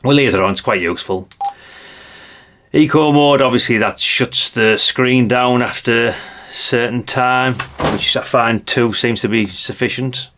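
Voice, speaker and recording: A man's voice: mid-range voice, measured pace, fairly bright tone, a little hiss or hum. An older man giving a casual hands-on product demonstration, chatty and unscripted, thinking aloud as he goes. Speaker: presenter of a radio gadget review channel.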